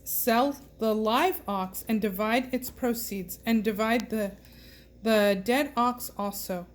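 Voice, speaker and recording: Female scripture reader with a slightly high voice, very unhurried and deliberate. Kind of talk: reading scripture aloud.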